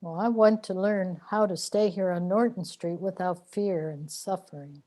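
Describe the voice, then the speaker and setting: A woman's voice: lowish voice, normal speed, dark tone, lightly acted. A woman playing a weary, plaintive immortal seeker in a sci-fi radio drama. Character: weary immortal seeker trapped in repeating lives.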